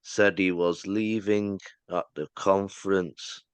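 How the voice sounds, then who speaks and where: A male interviewer with a smooth, clear voice pitched on the low side. He asks his questions at an unhurried pace, in a dry, close sound. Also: steady tone, dry recording.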